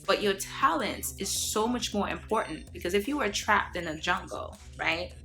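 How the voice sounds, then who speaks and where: A woman's voice: mid-range voice, lively intonation, moderate pace, pushed and bright, faint music behind. A woman from Haiti talking earnestly to camera, lecturing her viewers with many fillers and restarts. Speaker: vlogger. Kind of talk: casual monologue to camera.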